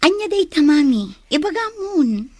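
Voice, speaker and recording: Eager obedient daughter, ilocano accent, highish voice that jumps around a lot, radio drama. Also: female voice, big pitch swings, normal speed, warm tone, dry recording, clean studio sound. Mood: eager, questioning. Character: eager young secretary daughter, obedient to her mother.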